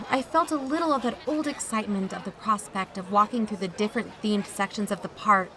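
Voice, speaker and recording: A woman's voice: medium pitch, fairly quick, noisy background, lightly acted. A woman playing an uneasy, wry adult chaperone who narrates her own account in a confiding way for an audio drama. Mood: wry, nostalgic. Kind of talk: first-person scripted narration.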